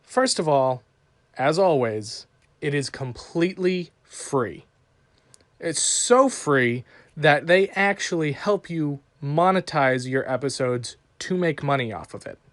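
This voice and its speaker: A man's voice: fairly high pitch, expressive, takes it slowly, no echo. A man hosting a podcast, reading a scripted sponsor message in an emphatic, promotional way. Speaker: podcast host. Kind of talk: scripted sponsor read and show introduction.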